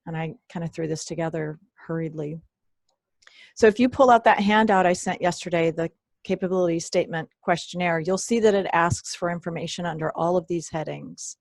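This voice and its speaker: A woman presenting an online workshop, hoarse and losing her voice, often clearing her throat and apologizing. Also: lowish voice, dry recording. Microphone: computer mic on a video call.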